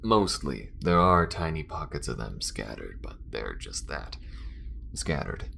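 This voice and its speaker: Guy doing a gruff dry space smuggler, super deep n gravelly, guarded, impatient, audio drama. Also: very animated, measured pace, bright, forceful tone, a little hiss or hum, full character voice. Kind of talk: audio roleplay acting.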